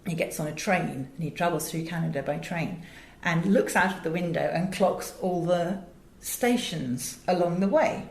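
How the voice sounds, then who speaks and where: Interview guest: British woman, lower register, articulate and emphatic storyteller, roomy recording. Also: moderate pace, vocal fry, few pauses, darkish tone. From England. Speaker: interview guest, audio director and producer. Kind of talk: animated conversational storytelling in an interview. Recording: roomy, echoing interview sound.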